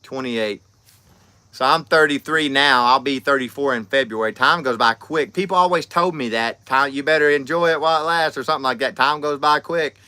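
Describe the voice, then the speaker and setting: A man's voice: mid-range voice, brisk, bright, forceful tone, smooth, dry recording. A man, chatting casually on a livestream and reading out comments, rambling and friendly with lots of fillers and restarts. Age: 30s. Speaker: livestream host.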